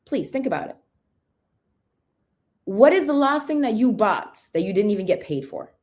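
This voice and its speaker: A woman's voice: medium pitch, very animated, normal speed, darkish tone. A young woman with an American accent, raised in the UK, hosting a casual sales livestream, chatting, greeting viewers and often asking "right?". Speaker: livestream host.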